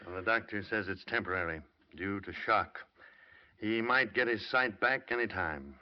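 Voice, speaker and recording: An actor playing a blunt, insistent American police lieutenant in old-time radio drama style. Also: male voice, lowish voice, moderate pace, lightly acted. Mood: brisk. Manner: insistent, terse, hard-nosed. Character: blunt, pressing male police lieutenant questioning a witness. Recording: muffled old radio broadcast recording.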